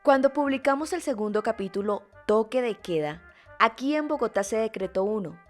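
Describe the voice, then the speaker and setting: A woman's voice: fairly high pitch, animated, moderate pace, faint music behind. A woman reading scripted narration as a podcast host.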